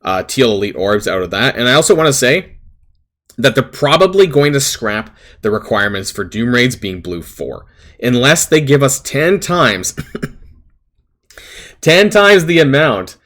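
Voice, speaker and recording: A man in the middle of his pitch range talks casually to his channel's viewers about a game. He rambles, stacking fillers and correcting himself as he goes, and his voice has turned a little raspy. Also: expressive, a little slow, a bit bright. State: slightly raspy voice. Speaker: gaming channel host. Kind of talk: casual gaming commentary to camera.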